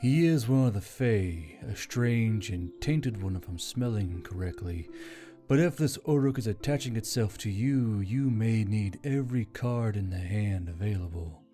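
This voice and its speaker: An ancient, proud spirit bound in a magic necklace , played by a man, speaks in a dark, soft-edged tone. The phrasing is formal and ominous, suited to a fantasy audiobook. Music plays underneath. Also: lowish voice, animated, measured pace. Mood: grave, wary.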